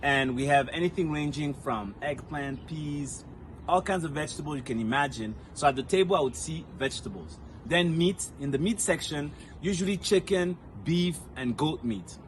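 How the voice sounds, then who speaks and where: A man's voice: fairly high pitch, moderate pace, a little hiss or hum. A man from Rwanda, whose first language is Kinyarwanda, giving a friendly self-recorded video message outdoors among banana trees, listing points with fillers as he goes. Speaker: storyteller.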